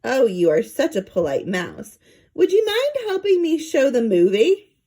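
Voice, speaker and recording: A woman voicing a coy, gushing female flight attendant mouse in a storybook, squeaky and falsely modest. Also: huge pitch swings, a little slow, dark tone, slightly rough, full character voice. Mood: coy, sweet.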